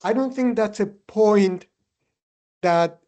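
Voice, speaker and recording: A man, speaking in a measured, reflective way as a podcast co-host. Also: high voice, warm tone. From Cuba. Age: 70s or older. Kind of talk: conversational podcast discussion.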